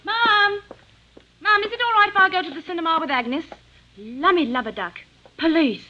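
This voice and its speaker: A woman's voice: extremely high pitch, normal speed, pushed and bright, slight background noise. An actress playing a cheeky, gullible young landlady's daughter in an old radio drama. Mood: excited. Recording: muffled old radio broadcast.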